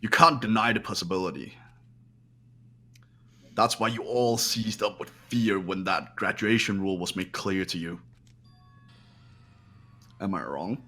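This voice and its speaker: A man's voice: low voice, unhurried, pauses often, sharp tone, heavily acted. A man voicing a cold, arrogant young man who talks down to everyone. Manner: haughty, dismissive.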